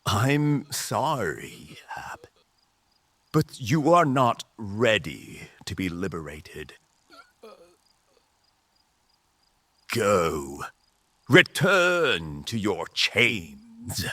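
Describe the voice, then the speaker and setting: Man voicing a slow, intense rebel mage leader. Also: lowish voice, huge pitch swings, pushed and bright, slightly rough, no room sound, heavily acted. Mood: mournful, stern. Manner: slow, deliberate, emphatic delivery. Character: fatherly, menacing rebel mage leader, bitter and zealous. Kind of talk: dramatic audio drama acting.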